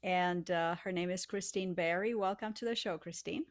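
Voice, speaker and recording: A woman's voice: lowish voice, normal speed. A woman from Romania hosts an interview, asking questions and sharing her own story in a conversational way. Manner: conversational, asks leading questions. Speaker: podcast host.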